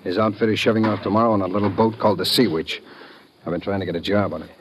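An actor playing a matter-of-fact undercover agent in an old-time radio drama, casual and conspiratorial. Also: male voice, fairly low pitch, racing, close to an everyday voice. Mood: confiding, serious. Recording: old radio recording with crowd murmur. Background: crowd murmur.

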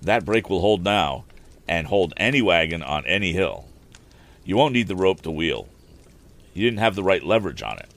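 A man voicing an eager young inventor, brisk and helpful. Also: low-pitched, big pitch swings, fairly bright tone, full character voice.

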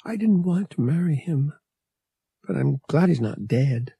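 A man voicing an earnest, shaken young woman in an audiobook, with a breathy, hushed tone. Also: a little high, expressive, measured pace, dry recording, pitched above the performer's own voice, full character voice.

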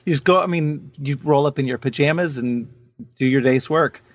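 A man with a mid-pitched voice hosts a casual podcast conversation. He sounds informal and enthusiastic, and the recording is close and dry with hardly any room sound. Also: moderate pace, dry recording. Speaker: podcast host and interviewer. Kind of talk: casual chat.

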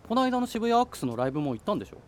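A man's voice: high voice, sing-song, very fast. A man playing an easygoing, teasing schoolboy in casual radio drama banter, full of questions and dry retorts. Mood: helpful, dry. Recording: faint background noise and ambience.

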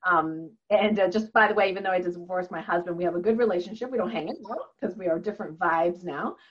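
A woman's voice: fairly low pitch, fast talker. Chatty woman, first language Chinese. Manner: earnest and chatty, laughs often, many fillers. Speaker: guest, holistic doctor and author. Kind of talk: conversational interview answer. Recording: slightly dull, lo-fi audio.

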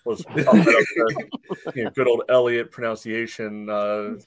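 A man co-hosting a sports podcast, giving casual analysis in halting phrases padded with verbal tics. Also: mid-range voice, a crowd in the background. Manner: hesitant phrasing, peppered with "you know". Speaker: podcast co-host.

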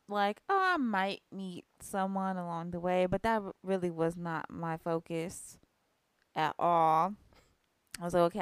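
A woman's voice: fairly low pitch, smooth, no room sound. A woman in her twenties, co-hosting a podcast in a casual, rambling way full of "like" and "you know". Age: 20s. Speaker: podcast co-host. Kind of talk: casual chat.

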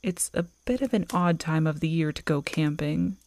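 A woman playing an affectionate, playful girlfriend in a soft, intimate ASMR audio roleplay, close to the microphone. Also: low voice, dry recording. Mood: cosy, reassuring. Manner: intimate, teasing, slow and cosy. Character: affectionate, playful werewolf girlfriend on a camping trip. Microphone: close microphone, dry.

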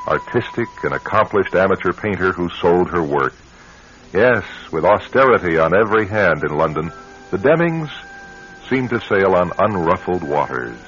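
A man hosting and narrating a suspense radio show in a theatrical manner with dramatic pauses. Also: low-pitched, a bit bright, a little hiss or hum. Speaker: host and narrator. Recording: dull vintage radio recording.

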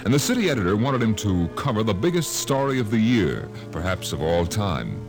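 A man's voice: lowish voice, sing-song, fairly quick. A man announcing and narrating an old radio science fiction drama in a dramatic, declamatory style. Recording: old radio broadcast with music.

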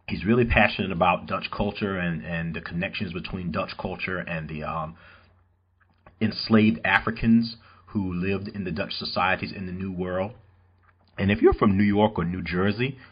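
A man's voice: deep voice, slightly rough, muffled recording. A man from North Carolina hosting an interview podcast, eager and chatty, often restarting his sentences. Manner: enthusiastic, with frequent restarts and fillers. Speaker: podcast host. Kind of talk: interview podcast, introducing a guest.

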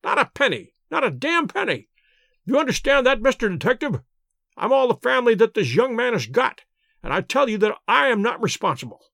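A crackling, querulous old lord. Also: male voice, very high voice, sing-song, creaky, no room sound, clean studio sound, pitched above the performer's own voice, exaggerated voice. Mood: irate, miserly. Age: older. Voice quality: sharp crackling voice. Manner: quick, intense, scolding. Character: querulous stingy little old nobleman. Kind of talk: audiobook character voice.

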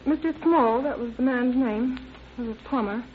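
A woman's voice: on the high side, moderate pace, lightly acted. An actress playing a nervous, frightened married woman in an old radio drama, hesitant, flustered and pleading. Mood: anxious, flustered. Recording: tinny, noisy old radio recording.

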